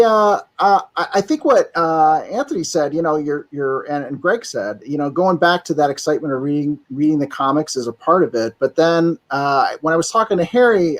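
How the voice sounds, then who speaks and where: Halting man searching for words. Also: fairly high pitch. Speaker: guest, voice actor. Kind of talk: casual podcast chat.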